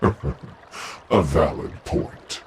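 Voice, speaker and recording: An actor playing a scheming villain in a video game, speaking in a deliberate, theatrical, formal way. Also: male voice, slight background noise. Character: deep-voiced, scheming male villain, deferring conspirator.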